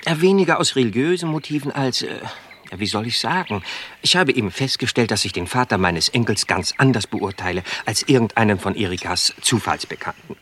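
A man's voice: mid-range voice, lively intonation, fairly quick, a bit bright, slight background noise, lightly acted. An actor playing a status-proud middle-aged civil servant and father in a radio crime play, pompous, hesitant and self-justifying. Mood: begrudging.

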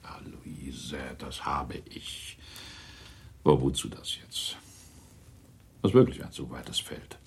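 An older man playing a resigned father and husband in a German radio play, husky, deliberate and weary. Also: rumbling low, whispered, full character voice. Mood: weary resignation.

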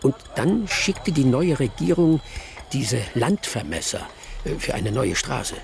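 An actor playing a genial older village storyteller in a radio drama, savoring his tale in an unhurried oral manner. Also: mid-range voice, very animated. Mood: absorbed storytelling. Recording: clear music behind the voice.

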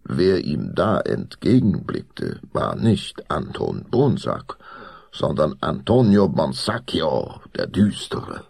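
Sehr tiefer, knarrender Erzähler. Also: male voice, low-pitched, gravelly, a little mellow, husky, no room sound, studio quality. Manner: theatrical, suspenseful. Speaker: narrator. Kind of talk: dramatic scripted narration of children's horror story.

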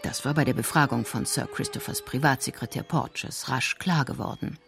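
Medium-pitched storyteller over faint music. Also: brisk, vocal fry, fairly bright tone. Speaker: narrator of a radio crime drama. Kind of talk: scripted literary narration.

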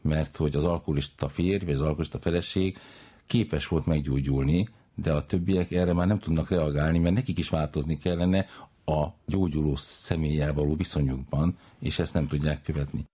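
A man talking as a psychologist in an interview, explaining in long, winding, deliberate sentences. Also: a bass voice, fairly even intonation, warm tone, slightly rough.

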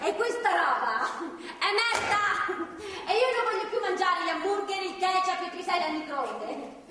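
Shrill, defiant young woman, echoey stage. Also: lively intonation, moderate pace, sharp tone, full character voice. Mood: sarcastic, demanding. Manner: sarcastic, crude, emotionally explosive. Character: angry, foul-mouthed, defiant young pregnant captive woman. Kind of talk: live stage drama acting. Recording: distant, echoey theatre recording with noise.

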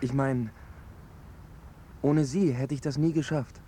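An actor playing an earnest, lovestruck young footballer, speaking hesitantly in naturalistic radio drama dialogue. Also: male voice, mid-range voice, fairly even intonation, slow pace, mellow, a little hiss or hum, natural voice. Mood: grateful. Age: young adult. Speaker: character in dialogue.

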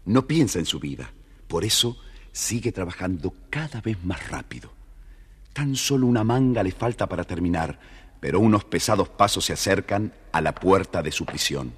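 A man narrating a scripted children's fairy tale as an expressive, dramatic storyteller. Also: deep voice, moderate pace, breathy edge.

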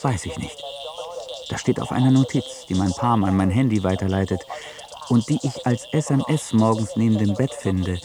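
A man's voice: on the low side, normal speed, natural voice. A man acting in a radio drama as an exhausted business traveller wearily listing his routines. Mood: harried. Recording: crowd noise behind the voice.

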